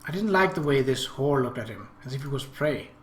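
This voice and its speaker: A man voicing an observant, possessive younger sister in a visual novel, speaking in a measured, reasoning way. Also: medium pitch, expressive, reverberant, natural voice. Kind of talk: visual novel dialogue read-aloud.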